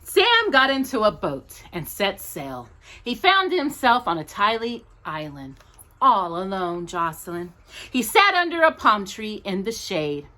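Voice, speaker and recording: A woman reading a children's storybook aloud as a storytime host, in an expressive, drawn-out, playful manner. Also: mid-range voice. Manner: animated, drawn-out delivery with playful asides to a child.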